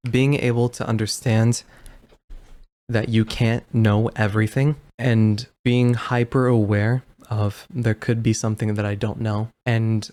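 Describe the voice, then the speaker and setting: A man's voice: on the low side, flat delivery, measured pace, a little mellow. A man speaking in an earnest, emphatic way, drawing on his own experience in casual conversation.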